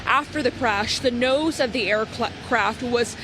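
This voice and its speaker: A woman's voice: a little high, unhurried. A woman news correspondent delivering a live report from outdoors in an urban setting. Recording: outdoor urban ambience, traffic noise.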